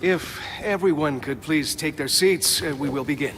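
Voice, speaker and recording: An actor playing a solemn funeral officiant in a video game, addressing mourners formally. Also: male voice, fairly high pitch, normal speed, a bit bright, a little hiss or hum.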